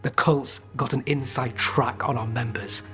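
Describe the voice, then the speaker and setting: An actor playing a wry, scheming criminal informant in a video game, bargaining in an informal, sly way. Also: male voice, medium pitch, steady tone, a little slow, pushed and bright, soft background music. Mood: sly, conspiratorial.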